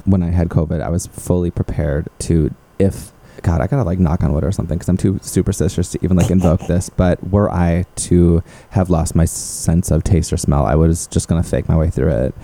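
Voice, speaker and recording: A man's voice: low voice, fairly even intonation, brisk, vocal fry, few pauses, mellow. A man co-hosting a podcast in casual, sarcastic chat, swearing and joking freely. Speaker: podcast co-host.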